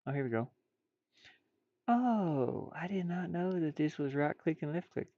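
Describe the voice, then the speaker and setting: A man's voice: on the high side, expressive, measured pace, creaky, no room sound. Muttering, folksy man in a dull, dry recording. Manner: muttering, thinking aloud to himself. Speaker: streamer and hobby artist. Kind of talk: casual livestream chat while drawing.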